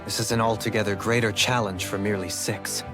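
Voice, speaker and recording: A man playing a composed, scholarly gentleman companion in a fantasy video game, formal and articulate. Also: lowish voice, fairly even intonation, fairly quick, over music, natural voice. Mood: analytical, grave. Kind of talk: scripted video game cutscene acting.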